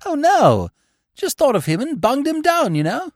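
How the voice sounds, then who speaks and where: A man voicing a breezy, foppish young upper-class English gentleman, airy and cheerfully slangy. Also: very high voice, sing-song, moderate pace, no echo, studio quality, voice pushed up. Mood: blithe. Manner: airy, chirpy, blithe.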